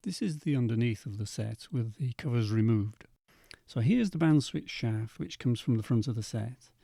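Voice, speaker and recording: A man narrating an instructional equipment demonstration in a measured, methodical way. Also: medium pitch, expressive, vocal fry, warm tone, breathy edge, no echo, studio quality. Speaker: presenter demonstrating vintage radio equipment.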